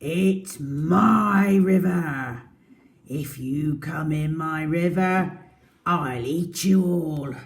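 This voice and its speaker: A woman voicing a huge, selfish male crocodile in a children's storybook, shouting slow threats. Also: sits low. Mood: menacing.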